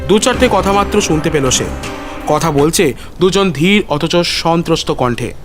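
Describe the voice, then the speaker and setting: A man's voice: on the high side, expressive, normal speed. A man narrating a dramatic audio story in a literary, suspenseful manner. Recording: music behind the voice.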